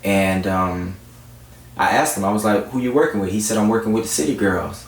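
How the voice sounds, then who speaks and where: A man's voice: deep voice, steady tone, normal speed, creaky. A man from South Florida chatting casually as an R&B singer being interviewed. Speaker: guest R&B singer-songwriter. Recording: roomy echo, distant mic, faint noise.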